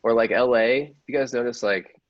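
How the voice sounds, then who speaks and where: A man's voice: medium pitch, moderate pace, no echo. A man in casual podcast conversation, informal and slangy, swearing freely. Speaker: podcast cast member. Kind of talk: casual chat. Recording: dull lo-fi audio.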